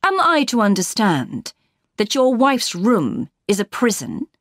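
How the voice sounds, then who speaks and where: Woman playing a bold, forthright gentlewoman heroine. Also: mid-range voice, huge pitch swings, unhurried, dry recording, clean studio sound, voice pushed up, full character voice. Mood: furious. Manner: forthright, earnest. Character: determined gentlewoman heroine, bold, protective and outspoken.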